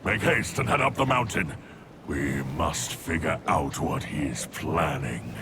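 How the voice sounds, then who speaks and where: An actor playing a boisterous, boastful male warrior who wields an axe, in a video game cutscene, with a gravelly voice. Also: extremely low pitch, lively intonation, takes it slowly, heavy background noise, full character voice. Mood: urgent. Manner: bellowing, boastful.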